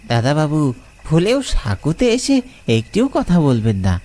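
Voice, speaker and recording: A man's voice: fairly high pitch, huge pitch swings, unhurried, warm tone. A man voicing a superstitious village rickshaw puller who speaks respectfully and pleads urgently. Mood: urgent warning.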